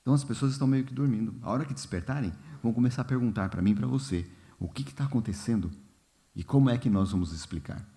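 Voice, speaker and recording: A man leading a church Bible study in a relaxed, informal way, often pausing with fillers and asking the congregation questions. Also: medium pitch. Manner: unhurried, many fillers and "né?", asks the congregation questions. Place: church hall.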